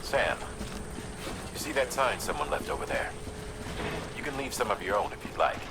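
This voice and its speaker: A man playing a steady, encouraging logistics handler who gives measured, instructive briefings to a courier in a video game. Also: fairly low pitch, very noisy. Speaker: mission dispatcher.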